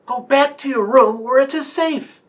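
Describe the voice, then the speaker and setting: A woman playing a commanding, aristocratic lord of the manor in a radio mystery, giving brisk orders. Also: sits high, distant mic, close to an everyday voice. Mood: commanding, urgent. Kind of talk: radio play acting.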